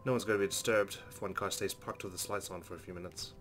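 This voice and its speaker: Fast-talking man reading game narration. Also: low voice, fairly even intonation, a bit bright, faint music behind. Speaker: let's play host. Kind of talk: reading game narration aloud with casual commentary.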